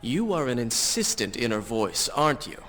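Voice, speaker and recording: A man's voice: medium pitch, big pitch swings, moderate pace, bright, forceful tone. A man playing a young, brooding and determined prince in a video game cutscene. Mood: brooding, wary. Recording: clear background noise under dialogue.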